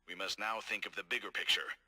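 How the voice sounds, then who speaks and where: A man voicing a cold, scheming corporate executive in game audio logs, calm, measured and formal. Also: on the low side, breathy edge, faint music behind, full character voice. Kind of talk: recorded game audio log.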